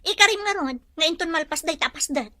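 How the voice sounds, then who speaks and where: High, bright, insistent young woman played by actress. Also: expressive, fairly quick, dry recording, full character voice. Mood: pleading, insistent. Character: insistent young mother-to-be demanding marriage. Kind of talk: Ilocano radio-style drama acting.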